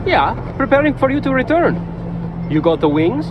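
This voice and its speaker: An actor playing a quick-witted, unapologetic young male thief in a video game, glib and persuasive. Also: very high-pitched, very animated, muffled recording. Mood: brisk. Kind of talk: video game fantasy acting. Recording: reverberant sound, music behind.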